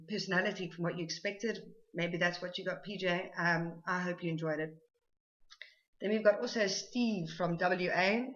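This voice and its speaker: A woman's voice: mid-range voice, pushed and bright, highs cut off. A South African woman chatting casually to camera for her vlog, rambling with lots of ums and restarts. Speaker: vlogger.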